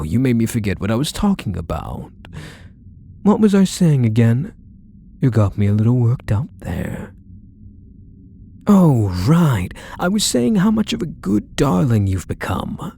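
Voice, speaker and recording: A possessive yandere husband, acted by a man, murmurs in an intimate ASMR roleplay with doting, quietly menacing condescension. His voice is mellow and creaky, with pitch that rises and dips widely. He coaxes softly and lets his endearments linger. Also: wildly swooping pitch, measured pace, long pauses, slight background noise, full character voice. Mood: tender, possessive. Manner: soft, coaxing, condescending endearments, lingering phrases.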